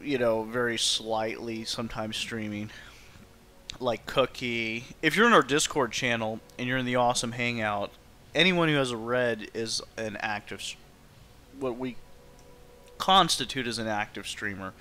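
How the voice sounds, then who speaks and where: A man's voice: mid-range voice, moderate pace, sharp tone. A man livestreaming a game, chatting informally and thinking aloud as he plays. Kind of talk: casual livestream chat over gameplay.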